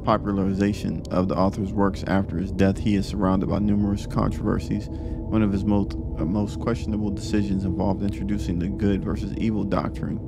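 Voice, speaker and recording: Chill-talking guy on a let's play, lowish voice, slangy comments, game music playing behind. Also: little pitch movement, fairly quick, vocal fry. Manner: informal, slangy reactions. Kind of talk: casual let's play, reading game text aloud and commenting.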